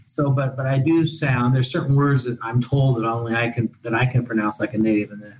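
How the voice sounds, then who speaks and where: A man's voice: mid-range voice, steady tone, a little fast, lo-fi sound, a little hiss or hum. A man who is a guest expert on an education podcast, talking in long, discursive answers with many restarts. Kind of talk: podcast interview. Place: living in Portugal.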